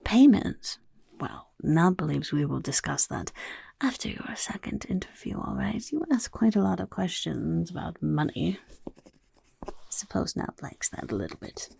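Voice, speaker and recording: A woman voicing a scheming, self-important goblin boss in a soft-spoken ASMR roleplay, raspy and half-whispered, muttering like an interviewer. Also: lowish voice, very animated, measured pace, no echo, exaggerated voice. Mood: businesslike, dismissive. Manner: speaks of self in third person, muttering, chaotic.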